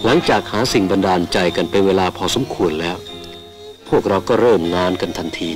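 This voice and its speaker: Low-voiced man narrating over music. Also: brisk. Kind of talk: scripted documentary narration and ceremonial recitation.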